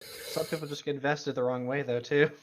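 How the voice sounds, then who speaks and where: A man's voice: medium pitch, fairly even intonation, brisk, a little hiss or hum. A man chatting casually and reading aloud as a co-host of a commentary show. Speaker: co-host reading and reacting to fan fiction. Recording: dull, lo-fi microphone sound.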